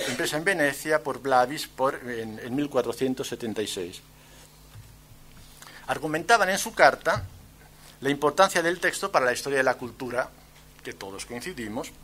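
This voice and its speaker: Man, accent from Spain, conference speaker, fairly high forceful voice, ironic delivery, faint background noise. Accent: Spanish from Spain. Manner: wry, ironic asides. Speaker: guest speaker, antiquarian bookseller. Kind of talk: conference lecture. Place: lecture event at a university.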